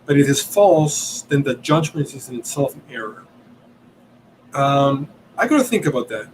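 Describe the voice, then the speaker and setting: Man, from Italy, native Italian speaker, livestream host, hesitant, restarts sentences, medium pitch, faint noise behind. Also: lively intonation, moderate pace, rough recording. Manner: hesitant, searching for words, many ums and restarts. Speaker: podcast host answering questions. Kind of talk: livestream Q&A, thinking aloud.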